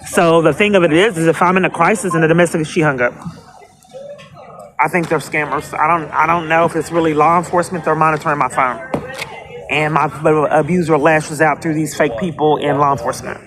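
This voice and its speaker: A woman's voice: deep voice, steady tone, fairly quick, fairly bright tone. A woman calling police for help, speaking insistently and repetitively and tending to talk over the other person. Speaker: caller to police dispatch. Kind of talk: phone call pleading for help.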